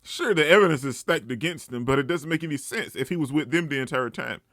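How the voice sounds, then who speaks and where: A man giving casual, off-the-cuff reaction commentary, thinking out loud as he goes. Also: a little high, quick pace, no room sound, studio quality. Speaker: anime reaction host.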